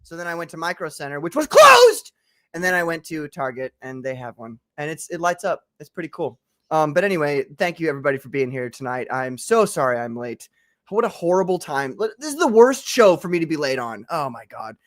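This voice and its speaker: Man, host, livestream chat, chatty, laughs often, somewhat high voice. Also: big pitch swings, fairly quick, fairly bright tone. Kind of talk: casual live-stream chat.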